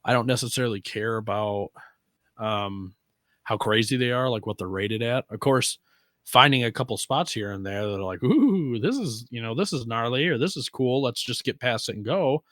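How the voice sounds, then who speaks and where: A man's voice: medium pitch, animated, moderate pace, a bit bright, no echo, studio quality. An American man co-hosting a casual off-roading podcast, talking in an informal, slangy way with occasional swearing. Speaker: podcast co-host. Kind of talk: casual conversation about off-roading.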